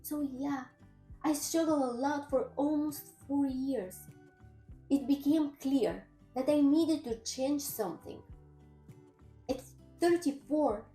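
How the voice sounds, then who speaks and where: High-voiced Bulgarian woman in a roomy space. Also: slow, dark tone. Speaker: personal trainer and nutritionist. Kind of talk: personal story vlog. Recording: roomy echo with faint music.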